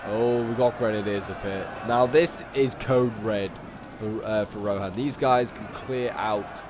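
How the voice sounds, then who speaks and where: A man with a bit of a cold, chatting casually through strategy-game commentary, rambling along and then bursting out excitedly at big moments. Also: fairly low pitch, a little slow, noisy background. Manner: chatty, with sudden excited outbursts at big plays. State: sniffly, coming down with a cold.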